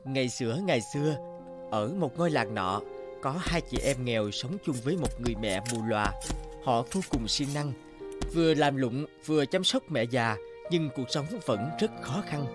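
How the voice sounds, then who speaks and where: Guy narrating a fairy tale, medium pitch, really lively intonation. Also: normal speed, music in the background. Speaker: storyteller.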